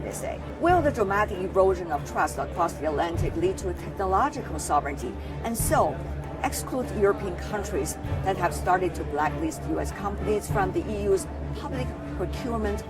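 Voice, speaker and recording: Woman narrating news over clear music. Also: lowish voice, lively intonation, moderate pace, distant mic. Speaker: news host.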